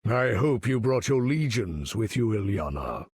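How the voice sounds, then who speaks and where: Game role: imperious older mutant leader, slow, gravelly, grandiose and formal. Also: male voice, lowish voice, big pitch swings, a little mellow, dry recording, heavily acted. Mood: stately, grave. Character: proud, imperious older male mutant master of magnetism. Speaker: video game voice actor. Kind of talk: theatrical game character voice lines.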